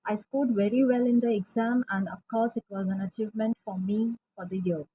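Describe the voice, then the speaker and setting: Mellow-voiced woman giving a testimonial. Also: medium pitch, fairly even intonation, fairly quick, a little hiss or hum, poor audio. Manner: earnest, with frequent fillers. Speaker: college French teacher. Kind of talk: recorded testimonial.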